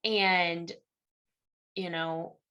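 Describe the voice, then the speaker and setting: Young midwestern woman guesting on an interview, bright tense voice, tells stories and acts out the other people's lines. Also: lowish voice, steady tone, drawn-out words, clean tone, low-quality recording. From Illinois. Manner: chatty storyteller who acts out quoted exchanges. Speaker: guest, university instructor. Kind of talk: casual interview chat.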